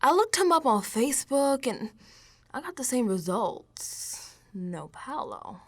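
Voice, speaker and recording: An actress performs a young American woman in a radio drama monologue, streetwise and unsettled. Her voice sits fairly high and swoops freely. She talks in casual, emphatic slang, as if confiding in a friend. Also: very animated, slow, fairly bright tone, heavily acted. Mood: puzzled, insistent. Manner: colloquial, sassy, emphatic, dropping into whispers.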